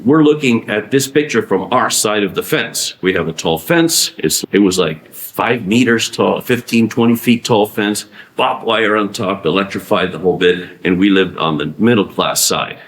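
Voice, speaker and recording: Low-voiced man lecturing in an echoey hall. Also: moderate pace. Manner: animated, asks questions and answers them himself. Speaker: conference speaker. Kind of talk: conference presentation. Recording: echoey room.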